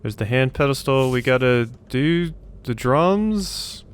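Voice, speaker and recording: A man's voice: mid-range voice, animated, takes it slowly, clean tone. A man doing casual gameplay commentary, muttering to himself as he thinks aloud in a self-deprecating way. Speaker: let's play host.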